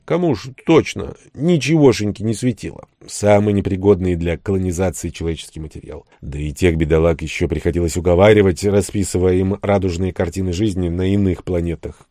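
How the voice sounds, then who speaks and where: Low mellow guy reading an audiobook story, wry and colloquial, really lively intonation. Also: dry recording, studio quality. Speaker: audiobook narrator. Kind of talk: expressive first-person fiction narration.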